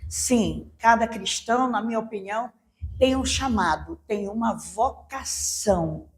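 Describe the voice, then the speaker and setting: A woman pastor speaks slowly and deliberately in a recorded reflection on faith. Her voice sits a little high and her delivery stays calm and even.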